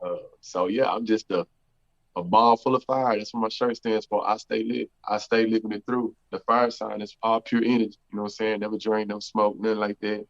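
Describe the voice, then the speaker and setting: A man's voice: on the low side, level tone, a little fast, gravelly. A man from Houston introducing himself as an entertainer, upbeat, often saying "you know what I'm saying". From Houston, Texas. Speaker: guest entertainer. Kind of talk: casual interview chat. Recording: dull lo-fi sound.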